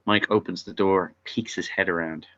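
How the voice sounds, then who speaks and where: A man's voice: sits low, steady tone, normal speed, fairly bright tone. A man narrating scene headings and stage directions in a scripted table read. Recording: dull lo-fi audio.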